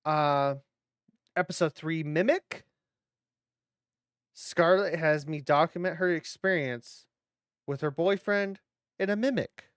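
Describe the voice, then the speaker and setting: A man's voice: fairly high pitch, slow, long pauses, clean tone, dry recording, studio quality. A man hosting a horror watch-along, giving casual reaction commentary with playful, rambling asides.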